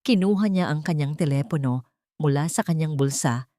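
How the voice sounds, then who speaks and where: A woman's voice: deep voice, normal speed, dark tone, dry recording, clean studio sound. A woman with a Filipino accent narrating a scripted dramatic story.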